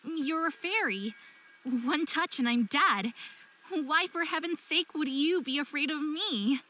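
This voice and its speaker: A young woman of faerie blood from a fantasy story game, played by an actress. Her voice sits high and bright. She speaks earnestly and with some hesitation, guarded and quick to apologize. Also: exaggerated voice. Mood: wounded, wary. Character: young faerie-descended hybrid woman, apologetic, wary, insecure. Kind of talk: visual novel game voice acting.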